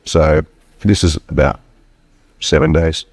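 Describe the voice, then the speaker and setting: A middle-aged man with an Australian accent softened by Afrikaans and southern British touches, his voice sitting very low. He talks loosely, full of ums and false starts, and the recording is close and dry. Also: fairly even intonation, takes it slowly, no room sound. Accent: Australian, with slight Afrikaans and southern British touches. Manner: rambling, many ums and restarts. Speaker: wine importer guest. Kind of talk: casual interview chat. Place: hotel room.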